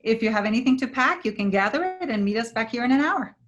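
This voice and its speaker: A woman's voice: fairly high pitch, lively intonation, quick pace, dull audio, lightly acted. A woman playing the kindly but firm leader of travelling actors in a staged play reading. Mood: solemn, welcoming.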